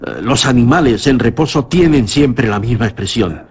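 A man's voice: mid-range voice, lively intonation, moderate pace, breathy edge. An actor playing a nervous, evasive man haunted by hallucinations in a radio suspense drama, with hesitant, stammering delivery. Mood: agitated.

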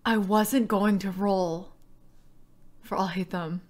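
A woman's voice: mid-range voice, steady tone, slow pace, darkish tone. A young woman chatting casually and expressively as a streamer reacting to a game trailer, with a playful way of talking. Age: young adult. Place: indoor room, livestream webcam.

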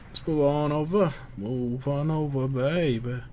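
A man's voice: fairly high pitch, a little slow, mellow. A man giving casual, profane gameplay commentary in an offhand, sarcastic way. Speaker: gamer commentator. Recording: game gunfire and vehicle noise behind. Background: war game sounds, gunfire, vehicles.

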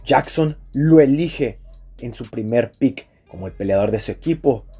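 A man who is a guest narrator, reading a script in a measured, documentary style. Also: medium pitch, warm tone, husky.

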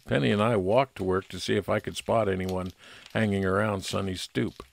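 A man's voice: sits low, fairly even intonation, normal speed, gravelly. A man narrating a scripted first-person private investigator story in old-time radio detective style.

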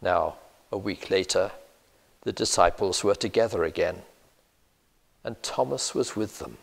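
An older man with a British accent preaching a measured, earnest sermon, recorded in a church on a lapel mic. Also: low voice, vocal fry. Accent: British English. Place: church sanctuary, indoors. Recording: long church reverb.

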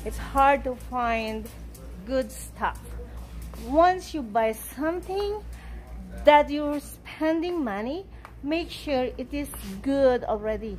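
A woman's voice: sits high, animated, slow pace, darkish tone. A middle-aged Filipino-accented woman, a native Tagalog speaker, chatting casually for a vlog on a handheld phone. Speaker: vlogger. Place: large busy stores and a restaurant. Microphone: phone at arm's length. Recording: noisy stores, handheld phone.

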